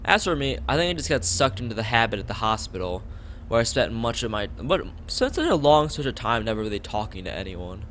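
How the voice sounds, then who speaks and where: A man's voice: medium pitch, big pitch swings, brisk, few pauses, a bit bright, a little hiss or hum. A young man reading a game's text aloud on a casual stream, rambling into asides and stumbling over lines. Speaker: streamer playing a visual novel.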